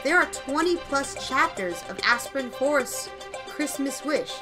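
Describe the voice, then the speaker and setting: A woman hosting a podcast in casual, chatty style, with an excitable, effusive manner. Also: sits high, measured pace, music in the background. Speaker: podcast host. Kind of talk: casual podcast chat with announcements.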